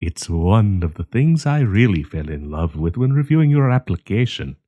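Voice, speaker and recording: Casting: male actor as genteel old professor, secretly predatory; deep, swooping delivery, deliberate and playful, for intimate roleplay audio. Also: big pitch swings, warm tone, muffled recording. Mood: sly, menacing. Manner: courtly, teasing, chuckling, deliberate. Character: kindly old male professor hiding a predatory, possessive menace.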